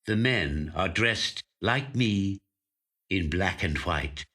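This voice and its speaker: Man reading as deep, bright-voiced watchful old magpie. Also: animated, slightly rough, heavily acted. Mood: watchful, fascinated. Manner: measured, deliberate, dramatic storytelling. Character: aging magpie, watchful, covetous hoarder of shiny things. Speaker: actor reading a short story. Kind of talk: scripted first-person literary narration. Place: recording studio.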